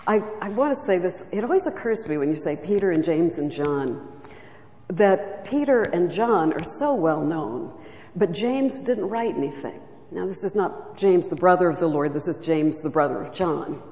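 A woman giving a reflective, soft-spoken teaching talk at a church retreat. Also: on the low side, animated, normal speed. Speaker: retreat speaker. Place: church hall. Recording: echoey hall recording.

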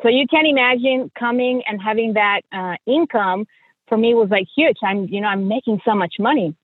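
A woman's voice: fairly high pitch, normal speed, no room sound. Mexican-accented woman, muffled dry recording. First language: Spanish. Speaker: podcast guest, financial coach and journalist. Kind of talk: conversational personal storytelling.

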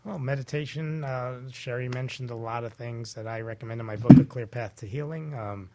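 A man talking casually as a health practitioner guest on a talk show, pausing often as he explains. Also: mid-range voice, very noisy.

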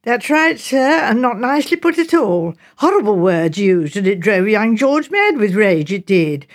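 Woman acting a high-voiced, indignant gossiping village mother. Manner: rambling, gossipy, breathless run-on sentences. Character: garrulous, indignant working-class village mother, deferential.

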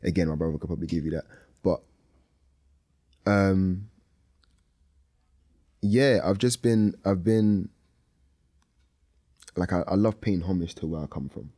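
A young man with a low-pitched voice talks casually as a music artist in an interview. His first language is Lingala, and he speaks informally, often tagging phrases with a check that he is understood. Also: unhurried, long pauses, warm tone. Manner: informal, repeats tag questions like "you know what I mean". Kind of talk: casual chat.

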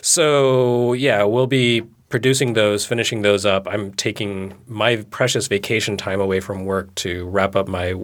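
A man with a somewhat low, sleepy-sounding voice talks casually as a podcast co-host. He rambles in a relaxed way and makes light fun of himself. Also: unhurried, few pauses. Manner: relaxed, rambling, self-deprecating. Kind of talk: casual chat.

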